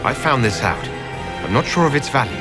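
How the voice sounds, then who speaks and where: A man's voice: mid-range voice, sing-song, a little fast, close to an everyday voice. An actor playing a composed, polite male inquisitor in a fantasy video game, speaking in formal, inquiring lines. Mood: calm, inquiring. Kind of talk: scripted video game dialogue. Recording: game music behind the voice.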